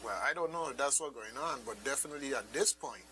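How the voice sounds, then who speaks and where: Host: man, Caribbean accent, crisp bright voice, conversational and emphatic, slight hum and hiss behind him. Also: medium pitch, lively intonation, fast. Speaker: radio host. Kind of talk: casual discussion of a radio drama.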